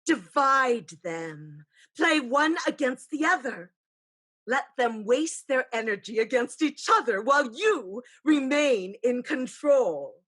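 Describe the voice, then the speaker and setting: A woman playing an elder ancestral spirit, a wise, pragmatic aunt, in a deliberate, knowing staged reading. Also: high-pitched, lively intonation, fairly bright tone, full character voice. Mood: firm counsel. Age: older. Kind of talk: staged play reading, dramatic and measured.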